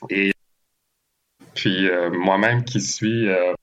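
A man from Haiti hosting a conversational interview, speaking in a halting way with frequent pauses. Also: deep voice, fairly even intonation, a bit bright. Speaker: podcast interviewer and host. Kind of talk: conversational interview with long spoken introductions.

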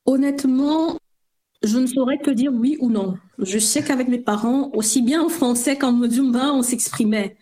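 A woman's voice: high voice, normal speed, dark tone. A woman from western Cameroon, answering questions as an interview guest in a conversational style. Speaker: interview guest, language and culture transmission coach.

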